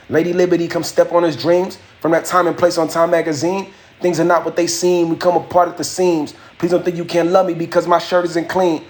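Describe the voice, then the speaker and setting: A laid-back young man talks with viewers on a live stream, his voice sitting a bit high for a man. He speaks casually in slang, reading and answering comments as they come in. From Haitian family. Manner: raps his verse with a raised, rhythmic delivery. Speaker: livestream host and rapper.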